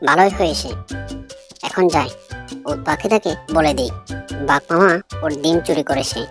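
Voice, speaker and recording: A woman's voice: deep voice, expressive, unhurried, over music. A woman voicing a cunning jackal nephew in an animal cartoon, with a wheedling, crafty manner. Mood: scheming. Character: cunning jackal nephew, polite but scheming. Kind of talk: Bangla animal cartoon dialogue.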